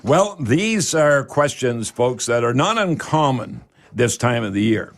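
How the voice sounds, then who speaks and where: An older man hosting a home repair radio show, explaining in a folksy, halting way and repeating himself for emphasis. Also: medium pitch, big pitch swings. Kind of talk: conversational radio advice, explaining to listeners.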